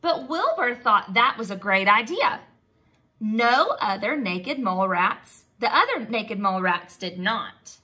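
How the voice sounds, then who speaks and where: A woman teaching a read-aloud lesson to young children. Her voice is bright and fairly high, with very lively pitch. She speaks slowly and expressively in simple words, often checking in with questions.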